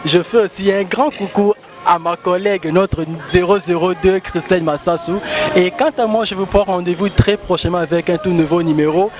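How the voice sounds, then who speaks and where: A man with a Congolese French accent hosting an interview show outdoors at a station, giving long rambling announcements and shout-outs. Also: high voice, fairly even intonation, brisk. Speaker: talk show host. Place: outdoors at a busy central station.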